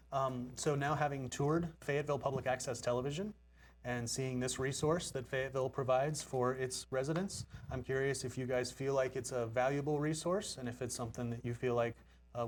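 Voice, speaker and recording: A man's voice: mid-range voice, fairly even intonation, a little fast, a little hiss or hum. A man hosting a studio interview on public television, polite and welcoming. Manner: polite, friendly. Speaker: TV host.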